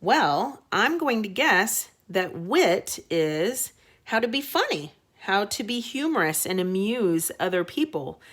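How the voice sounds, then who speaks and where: Slow, expressive woman teaching young children. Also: mid-range voice, husky. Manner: explanatory, checks in with short questions. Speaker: elementary school teacher. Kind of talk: read-aloud reading lesson for young children.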